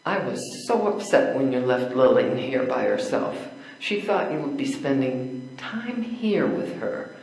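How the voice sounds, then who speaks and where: Slow, echoey older woman acting an outspoken woman. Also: very deep voice, very animated, darkish tone, slight background noise, full character voice. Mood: indignant. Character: outspoken, prickly woman, indignant meddler with dry wit. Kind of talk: readers theater stage play. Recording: very echoey room, distant stage pickup.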